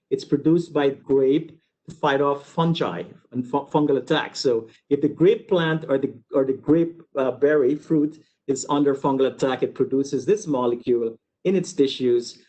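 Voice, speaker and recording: Man, from Guyana, university researcher, informal slide lecture, mid-pitched voice, explanatory, repetitive phrasing, frequent asides. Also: moderate pace, warm tone. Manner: explanatory, repeats words and digresses. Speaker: university researcher giving a webinar.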